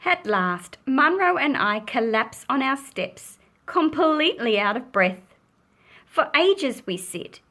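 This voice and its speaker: Slow-paced woman reading a picture book. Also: on the high side, a bit bright. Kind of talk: expressive children's read-aloud storytelling.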